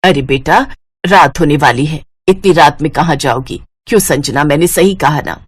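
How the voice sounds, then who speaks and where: A woman voicing a kindly, humble middle-aged village mother in a moral story, gentle and homely. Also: sits low, moderate pace, slightly rough, no echo, voice pushed down. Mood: coaxing. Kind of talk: moral story character acting.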